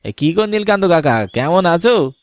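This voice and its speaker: A man's voice: sits high, sing-song, moderate pace, dry recording. A man playing a friendly, easygoing village man in a cartoon, speaking casually and familiarly. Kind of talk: cartoon dialogue.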